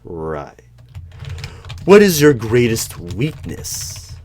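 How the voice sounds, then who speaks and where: A man playing a creepy, skeptical hiring manager in a one-sided job interview roleplay, speaking in a deliberate, probing way. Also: fairly low pitch, wildly swooping pitch, darkish tone, slight background noise, heavily acted. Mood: measured probing, then incredulous. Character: creepy, skeptical male hiring manager of a mysterious secret organization. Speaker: roleplay performer.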